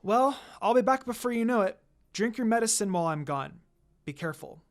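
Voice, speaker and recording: A young ninja woman from an adventure story, voiced by a narrator. She is confident and teasing, with a smug, playful edge and very lively intonation. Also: mid-range voice, a little fast, lightly acted.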